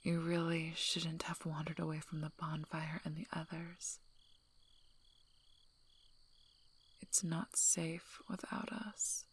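A woman voicing a gruff, guarded but protective werewolf alpha in a breathy, soft-spoken ASMR role-play, dry and teasing. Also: deep voice, full character voice. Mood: wry, guarded. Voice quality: breathy, husky, half-whispered.

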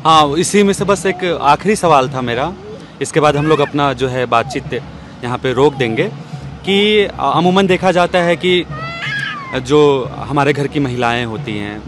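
A man's voice: a little high, lively intonation, a little slow, music in the background. A man in his thirties asking questions as an interviewer, recorded outdoors on a handheld microphone. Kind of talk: on-camera interview. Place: outdoor bench in an open courtyard. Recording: clear outdoor urban background noise.

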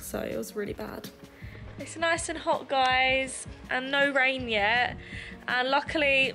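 Chatty 20s girl doing a holiday vlog, highish voice, music in the background. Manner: chatty, informal. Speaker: vlogger. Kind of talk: casual holiday vlog chat.

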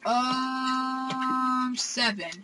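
A young man hosting a console game let's play from his room, excitable and gasping, with hesitant fillers. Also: extremely high pitch, extremely slow, background noise. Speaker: gaming channel host. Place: home room with console screen.

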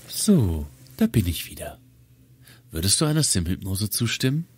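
A man playing a brisk, detached, coolly clinical psychiatrist in a German audio drama. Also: fairly low pitch, darkish tone, slightly rough, full character voice.

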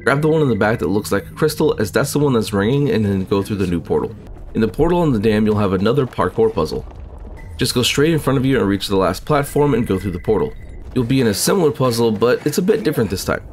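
A man narrating a video game walkthrough in a brisk, matter-of-fact, instructive way. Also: fairly low pitch, animated, darkish tone, slight background noise. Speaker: gaming channel host.

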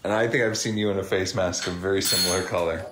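Lower-voiced man in an echoey studio. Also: fairly even intonation, fast talker, heavy background noise. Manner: teasing, gentle critique.

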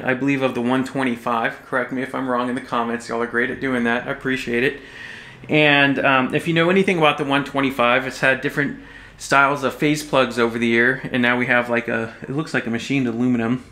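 An American man in his forties from Texas giving a casual, halting but enthusiastic product overview to camera. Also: medium pitch, bright, forceful tone. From Texas, United States. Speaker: audio shop presenter. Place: small indoor room, standing behind display table. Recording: roomy echo, faint music.

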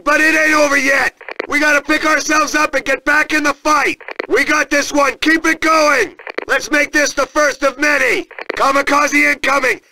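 Fierce american marine sergeant game announcer, barking orders in a high strained sharp voice. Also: male voice, slight background noise, full character voice, rough recording. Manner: barking, forceful, commanding. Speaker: multiplayer announcer. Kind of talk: military video game announcer barks.

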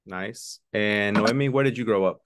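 A man teaching an online English lesson, switching between English and Spanish and repeating key words slowly for his students. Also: lowish voice, noisy background. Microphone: video call webcam.

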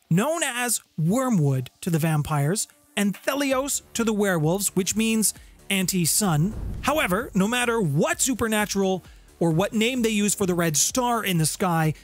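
A man in middle age presents a scripted explainer with theatrical emphasis. He pauses for effect between phrases and speaks close to a studio microphone. Music plays behind his voice. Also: sits high. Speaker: host of a tabletop gaming channel. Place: small home room with guitars on the walls. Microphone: close studio condenser mic with pop filter. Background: background music.

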